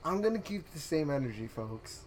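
A young man chats on a casual podcast, circling back and restarting as he works through his thoughts. His voice sits somewhat high for a man. There is a faint hiss in the recording. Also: big pitch swings, a little fast. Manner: rambling, earnest, with restarts and laughs. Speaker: podcast co-host. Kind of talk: casual chat.

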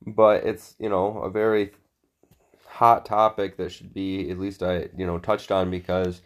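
Deep voiced guy w a stuffed up nose n sore throat, casual podcast chat, swears a lot. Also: little pitch movement, unhurried. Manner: profane, blunt. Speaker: podcast co-host.

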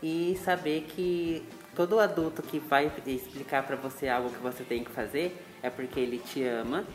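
A man in his twenties answering children's interview questions calmly, listing his points one by one. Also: medium pitch, normal speed, roomy sound, faint music behind. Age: 20s. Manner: calm, explains in lists. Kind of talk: school project interview.

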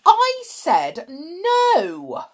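A woman's voice: on the high side, big pitch swings, takes it slowly, pitched above the performer's own voice. A woman voicing a cruel, bossy hospital matron from a storybook, with a sneering, theatrically sarcastic delivery. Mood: smug, snapping. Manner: theatrically scornful, sarcastic, snapping.

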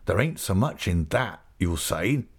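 A man's voice: sits low, very animated, unhurried, breathy edge, exaggerated voice. A man voicing a hearty old male fisherman who talks in a broad rustic dialect. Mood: jubilant.

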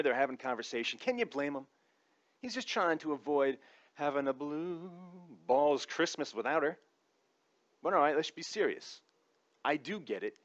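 A man's voice: medium pitch, dull audio. A man giving a conversational comic opinion monologue in an informal, wry, sometimes profane way. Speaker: comedic commentator.

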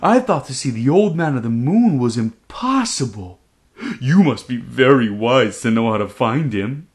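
A man voicing a big, gentle male dragon who sniffles and sobs. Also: medium pitch, lively intonation, measured pace. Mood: amazed. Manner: sniffling, sobbing, pleading.

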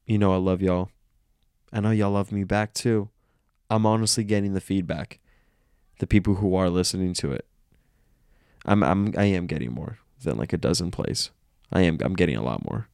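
A man's voice: sits low, normal speed, creaky, darkish tone, smooth, no echo, studio quality. A man hosting a casual solo podcast, congested and nasal from illness, rambling and self-aware. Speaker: podcast host.

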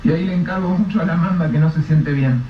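A woman's voice: lowish voice, fast talker, slight background noise, lightly acted. A woman playing a playful young working man reassuring his mother in a radio drama, with colloquial Mexican phrasing. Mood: teasing. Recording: roomy, dull, distant mic.

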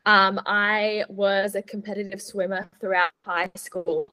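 A woman's voice: medium pitch, flat delivery, unhurried, sharp tone, rough recording. A young woman from Switzerland and Australia answering interview questions in a casual, upbeat, explanatory way as a podcast guest. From born in Switzerland, moved to Australia as a child. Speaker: podcast guest, sports nutritionist.

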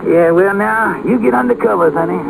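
Through heavy old-radio noise comes a loving father. His voice is high and very creaky. He explains things gently and patiently, in the folksy, unhurried way of vintage radio drama. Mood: gentle, fatherly. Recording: very noisy old radio broadcast recording.

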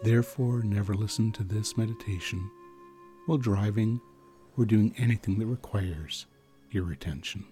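A man narrating a guided sleep meditation in a soothing manner, leaving long pauses. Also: lowish voice, warm tone, soft background music.

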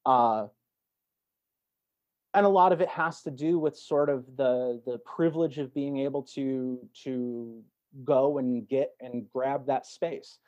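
Halting, mellow man in dull lo-fi audio. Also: medium pitch, steady tone. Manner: halting, repeats words while thinking. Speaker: podcast guest. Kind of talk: reflective casual conversation.

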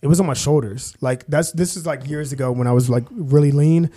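Young man, from Kansas, casual interview guest, halting speech, many fillers, relaxed dark tone. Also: on the high side, slightly rough. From Kansas, USA.

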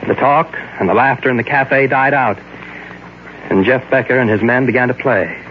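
An actor playing an exasperated, devoted husband who narrates his mystery tale in a formal, measured 1940s radio drama style. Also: mid-range voice, slight background noise, natural voice. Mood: wistful admiration. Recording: muffled old radio recording with music.

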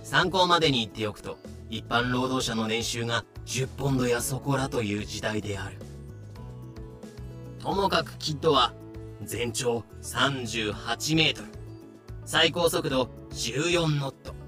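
A man's voice: medium pitch, pushed and bright. A man reading scripted comedic narration for a voice drama, explaining things with dry, sarcastic asides.

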